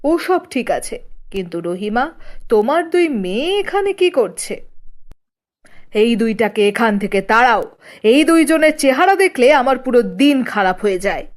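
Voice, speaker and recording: A woman's voice: fairly high pitch, very animated, moderate pace. A woman voicing a harsh, domineering village father in a children's cartoon, with a blunt, commanding manner. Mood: contemptuous. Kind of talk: children's cartoon character acting.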